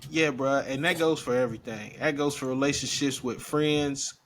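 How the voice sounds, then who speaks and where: A man's voice: medium pitch, fairly even intonation, moderate pace. A man in his thirties, co-hosting a podcast, telling a work story in a laid-back, slangy way. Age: 30s. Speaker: podcast co-host. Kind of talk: casual chat.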